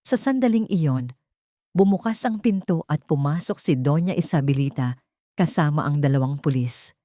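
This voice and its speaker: A woman's voice: low-pitched, lively intonation, moderate pace, mellow, no echo, clean studio sound. A woman reading a scripted dramatic story as an audiobook narrator.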